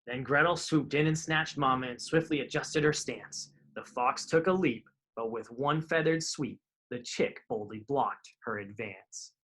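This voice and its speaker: A man's voice: mid-range voice, unhurried, hardly any pauses, fairly bright tone, slight background noise, poor audio. A man reading children's picture books aloud in a live storytime, with informal asides to his young listeners.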